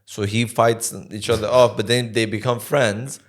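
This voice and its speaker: A man's voice: on the low side, little pitch movement, moderate pace. A man co-hosting a podcast in casual chat, reacting with jokes and laid-back comments. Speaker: podcast co-host.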